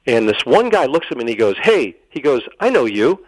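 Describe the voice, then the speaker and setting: Middle-aged male interview guest, mid-pitched voice, animated earnest storyteller who repeats phrases for emphasis and acts out dialogue. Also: brisk, dry recording, rough recording.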